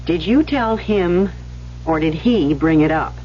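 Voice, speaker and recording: A woman's voice: fairly low pitch, lively intonation, a little slow. An actress playing a worldly, protective young woman in a radio drama, coaxing and probing her friend. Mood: worried, defensive. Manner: coaxing, probing, hesitant when worried. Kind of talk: 1970s radio drama acting. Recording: muffled, noisy old radio recording.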